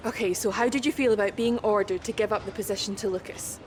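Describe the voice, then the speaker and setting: An actress playing a brisk, businesslike female motorsport reporter doing an interview in a video game cutscene. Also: medium pitch, a little mellow, a little hiss or hum, natural voice. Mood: brisk, professional. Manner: brisk, directing, professional.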